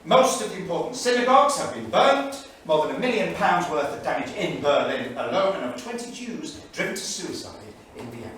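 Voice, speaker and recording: An actor playing a grave, anguished English intellectual diarist of 1938, reading aloud in a measured, erudite and emphatic stage manner. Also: male voice, fairly high pitch, sing-song, fairly bright tone. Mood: grave, indignant. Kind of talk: theatrical staged reading of a diary. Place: small theatre studio, indoors. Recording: echoey theatre studio from far off, faint noise.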